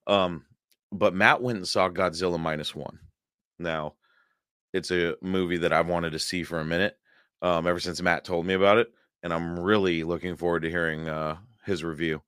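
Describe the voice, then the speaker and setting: A hoarse man hosting a paranormal podcast livestream, chatting casually and rambling with many fillers and chuckles. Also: low-pitched, steady tone, normal speed, fairly bright tone, no echo, studio quality. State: hoarse, losing his voice.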